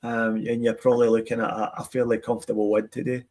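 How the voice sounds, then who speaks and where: A man on a football podcast, arguing his point in a measured way. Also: medium pitch, level tone, darkish tone. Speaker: podcast panelist. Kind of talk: casual football chat.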